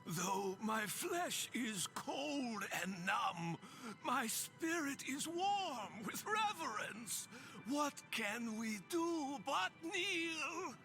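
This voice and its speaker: Fervent game zealot, theatrical, halting, bright intense edge, crackling fry, breathy devotional swells, music underneath. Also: male voice, extremely high pitch, sing-song, full character voice. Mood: awed rapture. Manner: rapturous, halting, dramatically drawn-out, breathy swells. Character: fervent, unsettlingly reverent zealot guiding a pilgrim to his holy master. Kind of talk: theatrical dramatic monologue.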